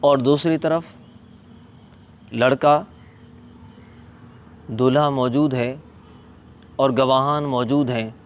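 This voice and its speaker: A man with a Pakistani accent explaining a religious ruling as an Islamic scholar, deliberate and emphatic, repeating key points. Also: steady tone. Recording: clear background noise, ambient vehicle rumble.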